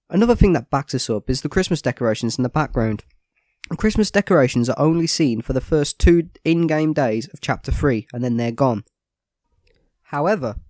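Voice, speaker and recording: A man's voice: mid-range voice, darkish tone, no room sound. A man with a cold and a stuffy nose, giving a scripted, matter-of-fact voice-over analysis of a video game.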